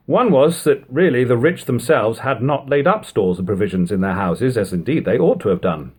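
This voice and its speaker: A man reading classic literature aloud as an audiobook narrator, in a measured, formal manner with long phrases. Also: fairly low pitch, a little mellow. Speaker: audiobook reader.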